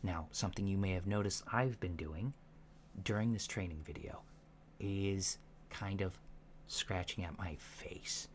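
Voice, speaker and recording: A soft-spoken man in an ASMR roleplay, playing a calm alien instructor with a halting, deliberate, matter-of-fact delivery, in a lo-fi recording. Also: sits low. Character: calm alien instructor briefing disguised recruits on humans. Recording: lo-fi recording with faint background noise.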